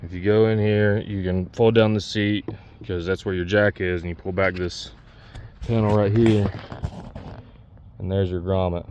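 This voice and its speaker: A man's voice: deep voice, steady tone, a little slow, pauses often, a little mellow, a little hiss or hum. A man giving a casual, unscripted DIY walkthrough, talking plainly and pointing things out as he works. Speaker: DIY vlogger demonstrating a truck CB radio install.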